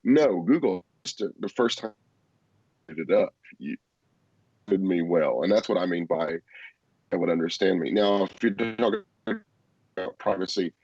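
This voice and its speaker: A man's voice: low voice, expressive, unhurried, long pauses, darkish tone, slightly rough, no room sound, dull audio. A man with a Texan accent chatting casually and ramblingly on a tech podcast. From Texas. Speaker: podcast panelist.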